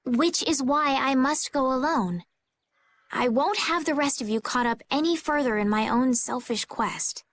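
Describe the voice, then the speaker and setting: A woman voicing a gentle, determined young noblewoman in video game dialogue, formal and courteous. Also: sits high, measured pace. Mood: earnest.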